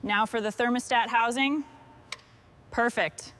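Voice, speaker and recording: A woman's voice: on the high side, steady tone, measured pace, a bit bright. A woman giving short scripted instructions and remarks as a mechanic on a restoration job.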